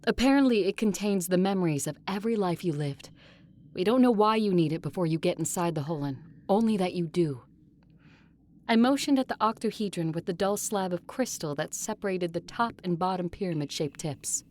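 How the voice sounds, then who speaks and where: An actress playing a proud, weary vampire ruler who narrates her story gravely and with measured care in an audio drama. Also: on the low side, expressive, darkish tone, lightly acted. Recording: music under the voice. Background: music.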